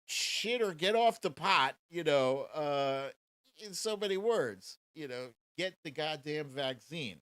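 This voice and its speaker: A man's voice: a little high, animated, slow pace, fairly bright tone, clean tone, dry recording. A man hosting a casual political commentary livestream in a sarcastic, mocking and profane manner. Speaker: show host.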